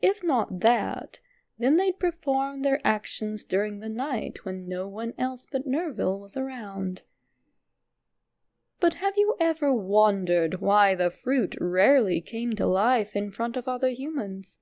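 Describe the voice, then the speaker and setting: A woman narrator delivers a scripted reading. Her voice sits on the higher side and carries a clearly gravelly, creaky fry. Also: animated, measured pace, a little mellow. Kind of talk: scripted narration of a fan theory.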